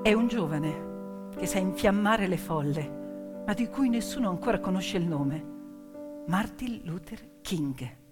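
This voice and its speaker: A woman performing dramatic theatrical storytelling in an emphatic, declamatory manner, with deliberate pauses and repetitions. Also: on the low side, lively intonation. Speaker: stage storyteller. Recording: piano music behind the voice. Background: piano accompaniment.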